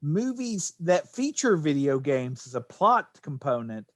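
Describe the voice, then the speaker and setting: A man's voice: on the high side, big pitch swings, a little slow, fairly bright tone, no room sound, studio quality. A man co-hosting a podcast, casually discussing films, posing questions and making considered points. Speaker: podcast co-host.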